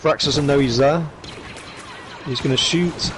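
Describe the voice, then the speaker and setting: A man commentating an esports match play by play, hoarse from shouting, with quick excited calls. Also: mid-range voice, background noise. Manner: rapid excited calls with rising bursts. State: hoarse, losing his voice.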